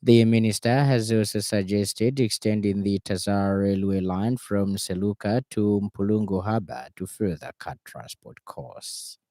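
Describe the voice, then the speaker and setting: A man's voice: lowish voice, steady tone, measured pace, hardly any pauses, dark tone, no room sound. A man with a Zambian accent reading a scripted radio news bulletin in a formal announcing manner. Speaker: news reader. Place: radio studio.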